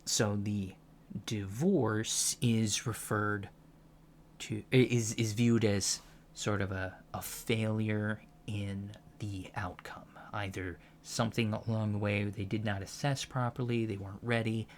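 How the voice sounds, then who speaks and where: A man playing a gentle, earnest alien professor in a soft-spoken ASMR lecture roleplay, with a halting, thoughtful delivery. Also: lowish voice, little pitch movement, a little hiss or hum. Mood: earnest, calm. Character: gentle, earnest male alien college professor teaching about humans.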